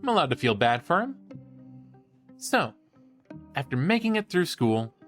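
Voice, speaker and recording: A man's voice: fairly high pitch, unhurried, soft background music. A man playing a cheeky, enthusiastic college student who hosts a podcast, chatting playfully in an audio drama. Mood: eager, explanatory. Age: young adult. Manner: playful, casual, animated storytelling. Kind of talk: bantering, conversational podcast-style audio drama.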